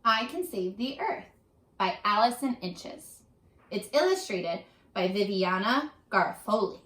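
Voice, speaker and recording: A woman reading aloud to children as a teacher, addressing them directly with questions in an animated, instructional way. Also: medium pitch, a little slow. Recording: roomy, echoing sound.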